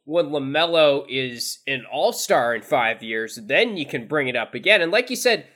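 A man's voice: mid-range voice, lively intonation, normal speed, fairly bright tone. A man co-hosting a casual sports podcast, easygoing and conversational. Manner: conversational, easygoing, laughs along. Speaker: podcast co-host.

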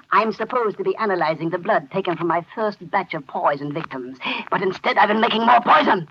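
A man's voice: high voice, expressive, moderate pace, a little hiss or hum. An actor playing a gleefully insane poisoner in theatrical, gloating 1930s radio drama style, cackling as he talks. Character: gleefully insane male poisoner, a laboratory worker. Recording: muffled vintage 1930s radio recording.

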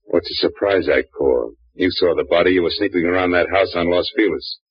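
A deep-voiced man plays a hard-boiled private eye, narrating in a dry, clipped, wisecracking way. The sound is dull and muffled, like an old radio broadcast. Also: a little fast, husky, no room sound. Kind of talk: 1940s radio drama narration.

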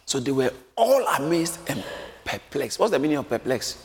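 A man preaching a sermon, lively and often acting out the voices of a crowd, joking with his congregation. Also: mid-range voice, moderate pace. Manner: animated, acts out crowd voices, jokes with congregation. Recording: echoey hall with faint room noise.

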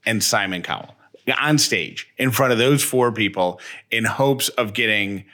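Guy podcast host just chatting, lowish voice, really bright and punchy. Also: measured pace. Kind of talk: casual podcast banter.

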